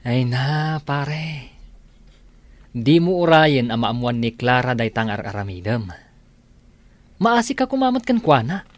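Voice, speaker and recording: Frank male friend: unhurried delivery, dry sound, admonishing and deliberate. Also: medium pitch, sing-song, no room sound. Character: concerned male drinking buddy, admonishing, frank. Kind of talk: Ilocano radio drama acting.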